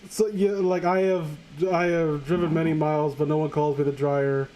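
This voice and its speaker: Guy with kinda high voice, sarcastic crude host riffing on a story, bit of noise behind him. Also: flat delivery, moderate pace, dark tone, poor audio. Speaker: host of a comedic story-reading show. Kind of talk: casual joking group commentary and read-aloud.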